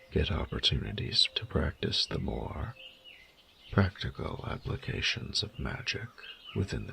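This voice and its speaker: An immense, proud dragon speaks in a bottomless, heavily creaking bass. He takes his time over formal, flirtatious phrases, murmuring intimately to his beloved in a romantic audio roleplay. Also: fairly bright tone, a little hiss or hum, heavily acted. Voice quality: very deep, gravelly, breathy murmurs. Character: huge proud male dragon, flirty, doting and courtly.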